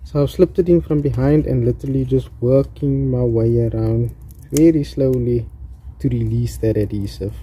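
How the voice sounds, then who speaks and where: A man's voice: medium pitch, normal speed, warm tone, a little hiss or hum. A man with a South African accent giving a casual, hands-on DIY tutorial, talking informally to his viewers. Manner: informal, addresses viewers as guys. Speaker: presenter of a DIY installation tutorial.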